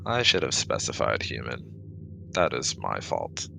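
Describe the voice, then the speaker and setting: A man acts out an officious male alien scientist in an audio roleplay, with a rock-bottom, creaky voice. His wording is precise and formal and his patience is short, yet his intonation moves around a lot. There is clear noise in the recording. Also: very deep voice, big pitch swings, a little slow, pushed and bright, full character voice, low-quality recording. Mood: formal, reassuring. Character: formal, easily exasperated male alien exobiologist. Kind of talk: scripted ASMR audio roleplay.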